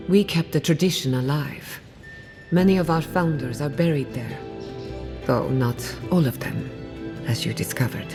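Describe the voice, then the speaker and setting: Video game companion: confident female researcher, low register, dry wit, guarded, over music. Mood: reflective, wry. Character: driven, wry female scholar, guarded about family loss. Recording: music playing behind the voice.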